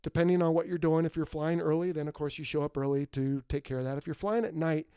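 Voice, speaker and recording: Male podcast host in his forties, upbeat interviewer, slightly high, warm and dry. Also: steady tone, fast talker, smooth, dry recording.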